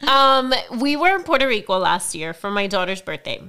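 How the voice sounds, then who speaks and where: Chatty woman with a smooth voice. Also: mid-range voice, very animated, moderate pace, bright, forceful tone. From Miami, United States. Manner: chatty, mixes English and Spanish phrases. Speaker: podcast co-host. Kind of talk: casual chat.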